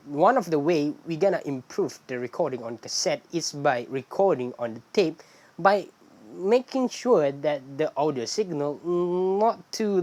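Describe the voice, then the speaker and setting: Low-voiced woman explaining casually. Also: a little mellow. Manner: non-native English with loose grammar. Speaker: video host.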